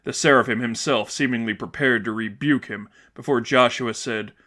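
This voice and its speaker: A man reading a story aloud as dramatic narration, in a measured, earnest, suspenseful manner. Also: medium pitch, sharp tone. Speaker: narrator reading fan fiction.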